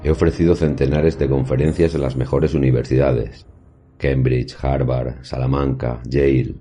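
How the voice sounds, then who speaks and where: A man playing an erudite professor who recounts paranormal experiences in a horror audio drama, with formal, measured, literary diction. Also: extremely low pitch, little pitch movement, mellow. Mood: grave, reflective. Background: music.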